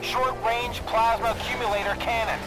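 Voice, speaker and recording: Easygoing military pilot, high-voiced. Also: male voice, flat delivery, normal speed, music in the background. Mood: upbeat, instructing. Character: easygoing, loyal military pilot talking over radio. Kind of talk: military shooter game voice acting.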